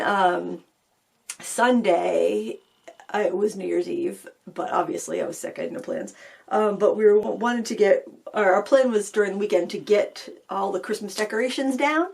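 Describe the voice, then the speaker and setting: A middle-aged woman hosting a craft channel, talking casually to camera with a slightly raspy voice after being ill, rambling with fillers and restarts. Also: medium pitch, a little mellow. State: recovering from illness, a little raspy.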